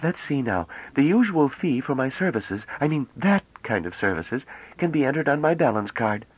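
A man reading a novel aloud, voicing a chatty, naive young woman who talks rapidly and almost breathlessly. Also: mid-range voice, big pitch swings, breathy edge, pitched above the performer's own voice. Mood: eager. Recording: dull lo-fi dry audio.

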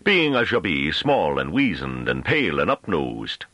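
A man's voice: a bass voice, sing-song, measured pace, a bit bright, no room sound, exaggerated voice. A man voicing a big, mocking, belligerent farmer in a thick rustic dialect with rolled Rs and archaic phrasing, for an audiobook. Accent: thick rustic invented dialect with rolled Rs.

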